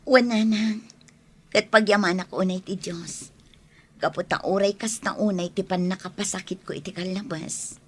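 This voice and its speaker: A woman playing a soft-hearted, hopeful young woman in love in an Ilocano radio drama. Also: mid-range voice, steady tone, normal speed, slightly rough, close to an everyday voice. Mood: grateful.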